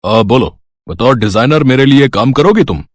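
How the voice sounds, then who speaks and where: An actor playing a polite, businesslike factory owner who speaks calmly. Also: male voice, medium pitch, moderate pace, no room sound, studio quality, natural voice. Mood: persuasive.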